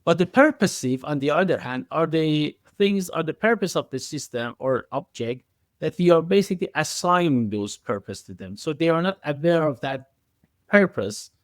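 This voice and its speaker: A man's voice: on the high side, lively intonation, normal speed, no room sound, clean studio sound. A man speaking as an expert podcast guest, explaining technical ideas in a hesitant, circling way with frequent self-corrections.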